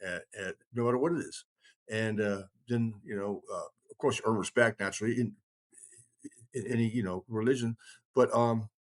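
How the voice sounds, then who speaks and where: A man's voice: lowish voice, moderate pace. Older man, rambling and blunt. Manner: rambling, emphatic, blunt and salty. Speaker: kindred chieftain. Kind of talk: casual podcast conversation.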